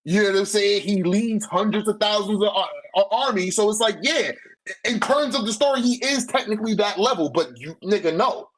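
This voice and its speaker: Male, very high-set voice, casual argumentative talker, heavy slang, emphatic delivery. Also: fairly quick, low-quality recording. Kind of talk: casual debate about manga.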